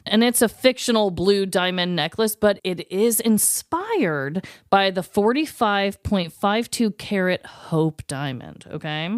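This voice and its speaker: A woman's voice: mid-range voice, expressive, slow, few pauses, smooth, no room sound, studio quality. A woman co-hosting a podcast, telling a story in a casual, slangy, conversational way. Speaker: podcast co-host.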